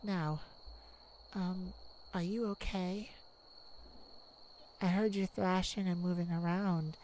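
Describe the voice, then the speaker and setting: A woman playing a tenderhearted warrior mage in a soft ASMR romantic roleplay, speaking in a hushed, soothing, halting way. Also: lowish voice, steady tone, slight background noise, low-quality recording. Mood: gentle reassurance. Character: tenderhearted young warrior mage comforting a werewolf lover. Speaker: audio roleplay performer.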